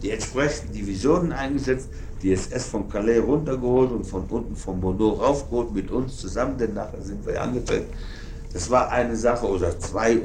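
An actor playing an embittered, defiant former Nazi veteran in a radio play, scornful and insistent, tagging phrases with questioning interjections. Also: male voice, on the low side, brisk, a little mellow, close to an everyday voice. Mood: boastful. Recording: roomy echo, noisy ambience.

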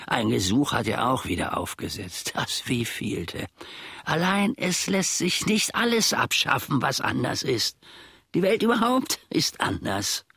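Brooding old servant for radio drama: bright, rasping edge, slow mannered phrasing falling into whispers, bitter and scheming. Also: male voice, medium pitch, no room sound, studio quality, heavily acted. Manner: mannered, dropping into whispers, archaic stilted diction. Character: aggrieved, brooding old manservant scheming against his master. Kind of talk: theatrical German radio play monologue.

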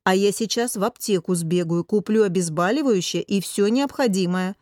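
A young heroine of a comic fantasy audiobook, played by a woman narrator. She has a fairly high voice and a patient, soothing side that easily tips into exasperation. The recording is dry. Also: very animated, moderate pace, warm tone, no room sound. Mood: hurried.

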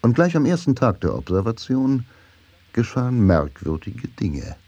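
A man's voice: mid-range voice, sing-song, measured pace, warm tone, husky, muffled recording. A man narrating a radio drama in a scripted literary style, with music behind his voice.

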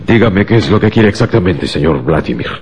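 An actor playing a deferential, lazy secret agent in a radio drama, defensive and hesitant. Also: male voice, low-pitched, expressive, fairly quick, a little mellow, husky, heavily acted. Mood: uneasy.

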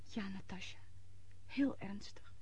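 A woman's voice: mid-range voice, slow, a little mellow, in a whisper, close to an everyday voice. An actress playing a timid, earnest young woman in a radio drama, hesitant and pleading in manner. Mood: hushed, pleading.

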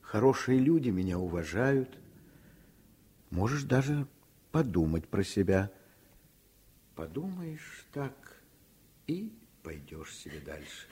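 An actor playing a gentle, reassuring father in his thirties in a Soviet radio play, husky and tender. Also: on the low side, sing-song, slight background noise. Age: 30s. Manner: tender, unhurried.

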